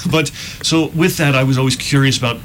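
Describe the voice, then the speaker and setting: Fast-talking middle-aged American man explaining. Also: mid-range voice, steady tone, heavy background noise. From New York, United States. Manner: measured, explanatory, often checks with "right?". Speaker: chef and restaurant consultant, interview guest. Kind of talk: conversational interview answers.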